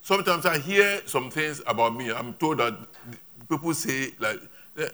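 Male preacher, bright forceful tone, emphatic repetitive phrasing, church sermon. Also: a little high. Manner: emphatic, repetitive, pauses for interpretation. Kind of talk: sermon with an interpreter.

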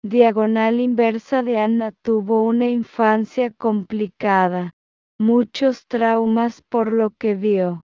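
A woman reading a text aloud phrase by phrase, then repeating each phrase slowly and calling out the punctuation marks. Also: fairly high pitch, steady tone, clean tone, dry recording, muffled recording.

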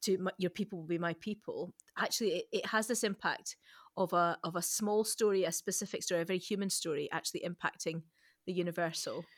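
Quick-talking woman, tentative and questioning. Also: on the low side, fairly even intonation, no room sound, clean studio sound. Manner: tentative, questioning, restarting phrases. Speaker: podcast host. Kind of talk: conversational Bible discussion.

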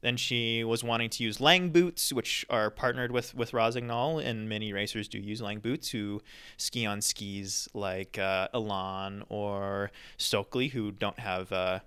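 A man's voice: fairly low pitch, a little slow, sharp tone, clear, resonant tone. A man co-hosting a sports podcast, giving opinionated commentary with lots of ums and restarts. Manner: opinionated ranting, frequent fillers. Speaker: podcast co-host. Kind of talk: casual podcast commentary on ski racing.

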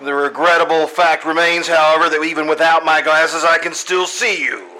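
A man playing a pompous, exasperated high school principal in an old-time radio comedy, speaking in elaborately formal, sarcastic sentences. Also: steady tone, moderate pace, crowd noise, natural voice. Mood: wounded sarcasm. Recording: live stage recording, background noise.

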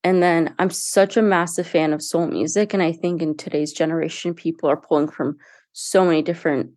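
A woman's voice: sits low, fairly even intonation, brisk, darkish tone, no room sound, studio quality. A young woman, a singer-songwriter, talking earnestly and casually about her music in an interview, with frequent fillers and restarts. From United States. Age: young adult.

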